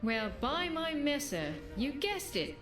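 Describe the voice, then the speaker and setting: Actress as a wry, bawdy fantasy adventuress, roomy sound. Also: female voice, high voice, big pitch swings, takes it slowly, a bit bright, exaggerated voice. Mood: teasing. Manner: teasing, playful banter. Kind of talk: video game companion dialogue. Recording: roomy game audio, music behind.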